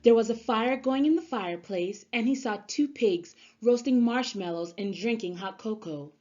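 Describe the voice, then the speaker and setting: A woman narrating a children's story aloud in an expressive storybook-reader style. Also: fairly high pitch, normal speed. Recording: slightly dull sound, music underneath. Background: music.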